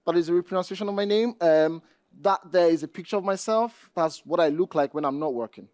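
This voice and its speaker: A man with a Nigerian accent giving a conference presentation, speaking deliberately and addressing the audience directly. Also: sits high, darkish tone, no echo, studio quality. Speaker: conference speaker, database administrator.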